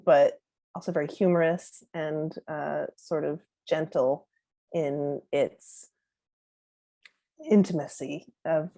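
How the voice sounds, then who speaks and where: Low mellow woman moderating a Q&A. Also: no echo. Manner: measured, thoughtful questions with fillers. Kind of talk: moderating a festival Q&A.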